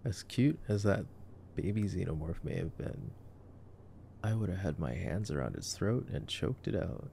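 A man's voice: low voice, animated, normal speed, vocal fry, warm tone, a little hiss or hum. A young man giving casual, joking, intimate movie-watching commentary in a boyfriend sleep-aid roleplay, full of slang. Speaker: ASMR roleplay voice actor.

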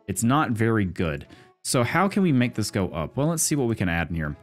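Fast-talking, congested male let's-play host, animated and rambling, soft music underneath. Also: fairly low pitch. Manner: chatty, thinking aloud with many fillers. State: congested, stuffy from a cold. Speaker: gaming video host. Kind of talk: casual let's-play commentary.

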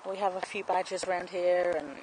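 A woman's voice: lowish voice, fairly even intonation, fairly quick, darkish tone, rough recording. A woman giving a casual, homely walking tour of her allotment garden, chatting and explaining as she films on a handheld camera outdoors. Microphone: handheld camera, speaker off screen. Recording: outdoor camera audio with clear background noise.

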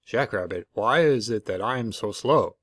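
A man's voice: on the low side, animated, moderate pace, a bit bright, no echo. Storybook tortoise, male, sad and plaintive, dry recording man reading the part. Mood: puzzled.

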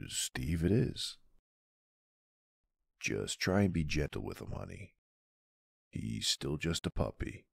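A man in an ASMR roleplay playing a doting mafia boss husband and gentle father, speaking tenderly and soothingly with soft pauses. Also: extremely low pitch, creaky, no echo, studio quality, heavily acted. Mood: surprised, gentle. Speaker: roleplay audio performer.

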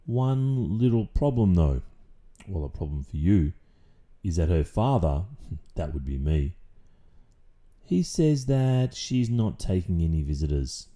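An Australian man playing a stern, protective, possessive father in an audio roleplay, speaking deliberately and condescendingly with drawn-out pauses and menacing calm. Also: low voice. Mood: gruff, suspicious. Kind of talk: intimate audio roleplay, slow dramatic monologue.

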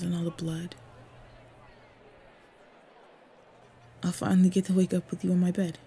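A woman playing a devoted, protective female orc warrior lover in an intimate fantasy roleplay audio, speaking softly, earnestly and often breathily. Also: fairly low pitch, lightly acted. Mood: hopeful, tender. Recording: clear background ambient noise. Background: ambience sound effects.

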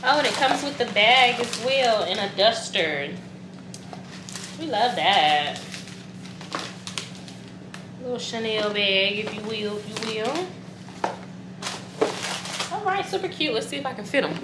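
A woman's voice: fairly high pitch, slow pace, pauses often. Chatty young woman in an echoey kitchen. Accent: Southern American. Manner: chatty, excitable, slangy. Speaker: vlogger. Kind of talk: casual vlog chat. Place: apartment kitchen, indoors. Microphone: camera at a distance. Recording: echoey room, clear background noise.

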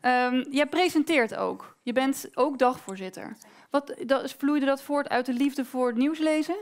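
A woman's voice: high-pitched, measured pace. A woman hosting a stage interview in a small theatre, chatty and playful, with many fillers. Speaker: interviewer and presenter. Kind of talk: stage interview in front of an audience. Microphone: handheld microphone.

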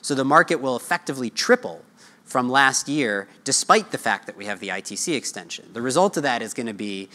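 A man's voice: mid-range voice, animated, fairly quick, sharp tone. An American man in his thirties giving a conference keynote in a conversational way, posing and answering his own questions, on a lapel mic. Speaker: market research analyst giving a keynote. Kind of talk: conference presentation. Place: large hotel ballroom conference stage.

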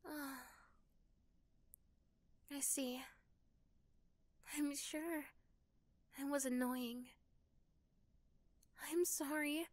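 A woman voicing a sweet, caring, shy young neighbour girl in an ASMR roleplay, gentle and hesitant. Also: high voice, fairly even intonation, drawn-out words, pauses often, no room sound, pitched above the performer's own voice. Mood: shy, subdued.

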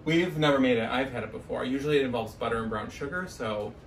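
Chatty young guy vlogging, average pitch, echoey room, little bit off mic. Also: fast talker, gravelly, faint music behind. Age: young adult. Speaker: vlog co-host. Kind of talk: casual grocery haul chat to camera. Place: small kitchen at home, indoors. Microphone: camera a few feet away. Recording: roomy, distant mic, faint noise.

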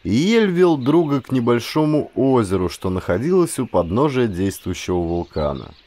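Expressive male audiobook narrator, lively pitch. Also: moderate pace. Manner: expressive, acts out dialogue. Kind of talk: dramatized scripted storytelling narration.

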